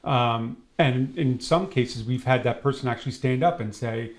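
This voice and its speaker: A man of medium pitch talks as an interviewed trainer. He explains in a conversational way, often slipping into quoted dialogue to illustrate his points. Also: moderate pace.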